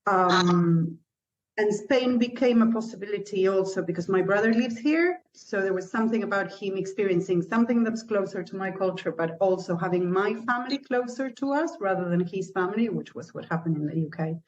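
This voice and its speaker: A woman's voice: medium pitch, animated, brisk. A woman from Argentina, answering at length in a reflective, articulate way. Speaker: interviewee. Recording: dull lo-fi recording.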